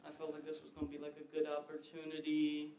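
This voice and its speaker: A man's voice: on the high side, monotone, fast talker, dark tone, reverberant, a little hiss or hum, poor audio. A young man in his late teens from Ohio, a student, answering interview questions hesitantly with "um"s. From Ohio, USA.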